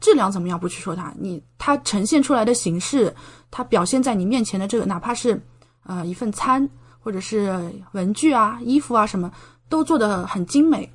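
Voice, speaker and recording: A woman's voice: mid-range voice, lively intonation, brisk. A young woman chatting casually as a podcast guest, explaining things with plenty of concrete examples. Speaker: podcast guest, medical PhD student studying in Japan.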